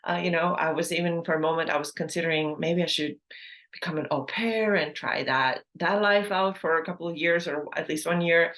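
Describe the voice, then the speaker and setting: A woman's voice: low-pitched, steady tone, a little fast, a bit bright. A woman in her thirties, a native Estonian speaker, hosting a casual solo podcast in English, chatty and full of fillers and self-corrections. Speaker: podcast host.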